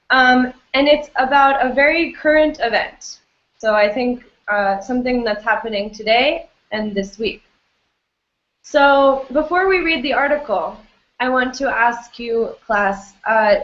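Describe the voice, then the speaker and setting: A woman's voice: fairly high pitch, a bit bright. Woman teacher, echoey lo-fi sound. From United States. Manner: friendly, many fillers like um and you know. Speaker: English teacher. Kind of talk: online class teaching. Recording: echoey, dull lo-fi audio.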